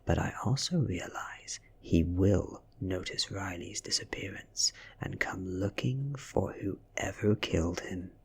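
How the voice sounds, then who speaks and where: A British man reads a story for ASMR in a very breathy, whispered voice. His pitch is low and his pace is slow, with each phrase placed deliberately. There is some creak beneath the hush. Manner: hushed, deliberate, measured delivery. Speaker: ASMR reader. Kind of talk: soft-spoken ASMR audiobook reading.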